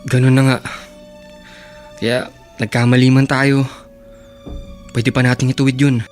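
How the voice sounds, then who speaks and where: A devoted young man played by an actor in a radio drama. His medium-pitched voice is sincere, measured and reassuring. Soft music runs behind it. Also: flat delivery, close to an everyday voice. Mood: hopeful.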